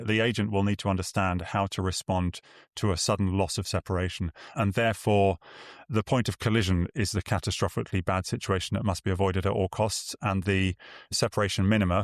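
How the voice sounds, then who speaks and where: Man, very deep voice, dry close-miked sound, measured articulate explainer, podcast interview guest. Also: dry recording. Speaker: air traffic expert and podcast guest.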